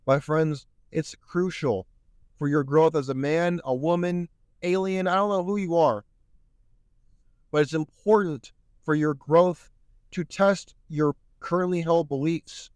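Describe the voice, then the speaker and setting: A man talks alone into the mic in an earnest, sermon-like way, his slightly high voice starting to wear out and go hoarse. The sound is dry and close, with no room around it. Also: a little slow, darkish tone, dry recording. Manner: earnest, preachy, repeats "my friends". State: losing his voice. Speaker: podcast host. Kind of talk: solo podcast monologue.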